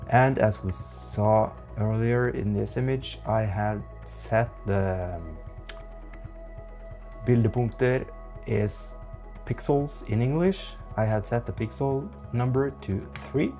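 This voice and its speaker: A man in his forties with a Norwegian accent, giving a software tutorial in a methodical, halting way. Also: fairly low pitch, soft background music. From Norway. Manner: slow, methodical, halting explanations. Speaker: photography instructor.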